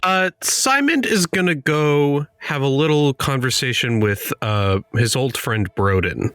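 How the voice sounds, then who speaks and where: A man's voice: mid-range voice, expressive, measured pace, a bit bright, clean tone, no echo. A man casually narrating his character as a player in a tabletop roleplaying game, with music underneath.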